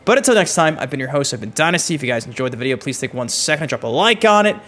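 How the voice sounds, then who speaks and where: A man hosting a gaming channel, giving excited casual commentary and swearing freely. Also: fairly high pitch, very fast. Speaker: gaming YouTube host. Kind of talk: casual commentary on game clips.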